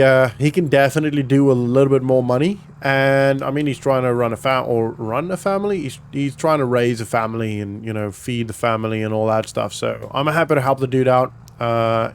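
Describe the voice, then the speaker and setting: A man's voice: mid-range voice, slight background noise. Casual, chatty man narrating gameplay. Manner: chatty, informal, thinking aloud. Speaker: gaming vlogger and host. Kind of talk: casual roleplay vlog commentary over farming simulator gameplay.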